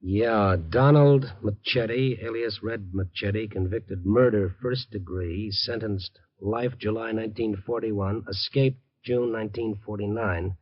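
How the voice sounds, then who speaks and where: Husky streetwise radio drama reporter. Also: male voice, fairly low pitch, measured pace, hardly any pauses. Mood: thinking aloud. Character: streetwise male newspaper reporter, protective and quick-thinking. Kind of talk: 1950s radio drama acting and narration. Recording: dull old radio recording.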